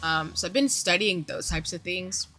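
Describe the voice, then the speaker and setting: A woman's voice: fairly low pitch, animated, moderate pace, pushed and bright, faint music behind. A young adult woman streaming a game, rambling casually to her viewers with lots of asides and fillers. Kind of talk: casual chat with stream viewers while gaming.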